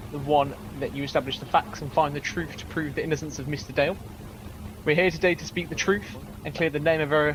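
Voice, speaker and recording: A man playing a defense lawyer in a roleplay courtroom, delivering an earnest opening statement and stumbling over some words. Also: fairly high pitch. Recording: noisy game voice chat.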